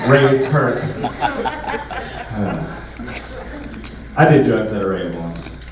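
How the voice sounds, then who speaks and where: A man's voice: lowish voice, lively intonation, slow, slight background noise. Young male stand-up comic, laid-back delivery, very echoey room, distant mic. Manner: laid-back, conversational, frequent filler words. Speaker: stand-up comedian. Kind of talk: stand-up comedy set. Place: comedy show venue with a live audience.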